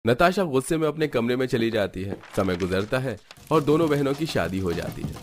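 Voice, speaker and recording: A man's voice: mid-range voice, big pitch swings, fairly quick, slight background noise. A man reading scripted narration for a cartoon family story as its narrator.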